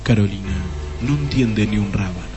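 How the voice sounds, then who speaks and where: A man's voice: fairly low pitch, moderate pace, mellow, faint music behind. A man narrating a scripted comic radio drama.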